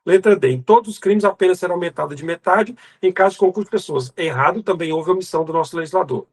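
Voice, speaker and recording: A man's voice: high-pitched, fast, husky. A Brazilian man teaching an exam review lecture, emphatic and didactic, drilling lists by repetition and speaking directly to the student in a colloquial way. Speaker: law exam prep teacher.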